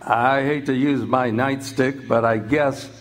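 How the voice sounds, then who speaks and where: Man acting a firm, mid-pitched policeman. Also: steady tone, takes it slowly, close to an everyday voice. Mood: firm, reluctant. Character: firm but reluctant policeman, patiently coaxing. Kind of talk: live radio drama acting.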